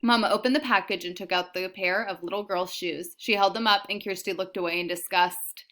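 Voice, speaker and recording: A woman's voice: mid-range voice, steady tone, a little fast, fairly bright tone. A woman teacher talking to pupils and narrating a children's book aloud. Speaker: elementary teacher reading aloud.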